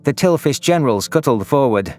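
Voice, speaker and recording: A man's voice: medium pitch, animated, fairly quick, a little mellow. A man reading scripted first-person narration for a science fiction audio drama. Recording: music under the voice.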